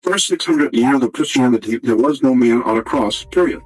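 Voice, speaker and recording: A man's voice: mid-range voice, animated, moderate pace, crowd noise, poor audio. A man giving an explanatory history lecture, assertive and didactic, restating his key words.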